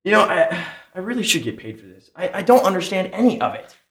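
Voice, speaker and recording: A man's voice: sits high, sing-song, fast talker, fairly bright tone. A man narrating a live old-time radio serial spoof theatrically, with exasperated asides, in a roomy live space. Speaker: sketch narrator. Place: comic shop, live before an audience.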